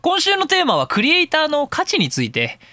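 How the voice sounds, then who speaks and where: A man's voice: very high-pitched, huge pitch swings, fairly quick, bright, forceful tone, no room sound. A man hosting a casual comedic radio talk show, rambling and self-mocking and jumping into bits and catchphrases.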